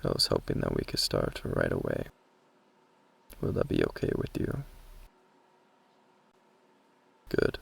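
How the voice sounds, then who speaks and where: Guy doing a caring magic school teacher for asmr, super breathy whisper, low and crackly, kinda formal. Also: flat delivery, moderate pace, heavily acted. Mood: quietly conspiratorial. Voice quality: whispery, breathy, mostly unvoiced. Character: earnest, caring magic academy teacher, adult man. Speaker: roleplay voice performer. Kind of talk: soft-spoken ASMR roleplay. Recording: low-quality amateur recording.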